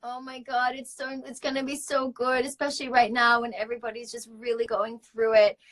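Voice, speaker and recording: A woman's voice: on the high side, level tone, fairly quick, fairly bright tone. A woman in her thirties from Australia, an actress hosting a casual live-stream chat, gushing and full of "like" fillers.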